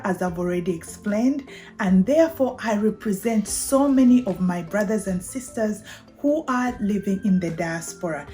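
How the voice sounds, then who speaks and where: Mellow-voiced Zambian woman presenting. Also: mid-range voice. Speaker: video channel host. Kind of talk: prepared explainer talk to camera.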